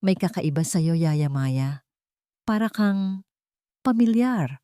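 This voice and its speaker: A woman voicing a stern, anguished and suspicious wealthy father in an audio drama, with a sharp, interrogating manner. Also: lowish voice, expressive, slow pace, smooth, no room sound, clean studio sound. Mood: troubled, wondering.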